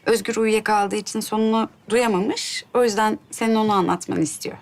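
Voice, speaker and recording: Gentle, creaky-voiced mother played by an actress. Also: medium pitch, fairly even intonation, a little fast, slight background noise, close to an everyday voice. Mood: polite, hesitant. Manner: coaxing, courteous. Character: gentle, polite, patient young mother. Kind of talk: naturalistic TV drama acting.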